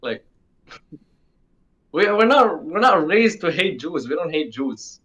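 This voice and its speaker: A man's voice: a little high, sing-song, slow, a bit bright. Teenage male, from the UAE, casual interview guest, informal, emphatic repetition, muffled band-limited sound. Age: teens, sixteen. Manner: informal, repeats himself for emphasis.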